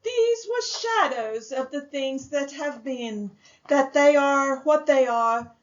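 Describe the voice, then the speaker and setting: A woman playing a solemn, relentless spirit of the past in a radio drama, speaking gravely and deliberately. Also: high-pitched, lively intonation. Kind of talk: amateur radio drama acting. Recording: dull lo-fi audio.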